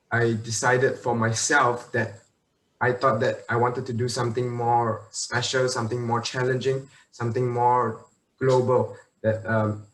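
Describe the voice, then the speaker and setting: A young man, a university student, answering as a guest on a virtual panel, relaxed and a little joking, with many fillers. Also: mid-range voice, roomy sound, far from the mic, poor audio. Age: young adult.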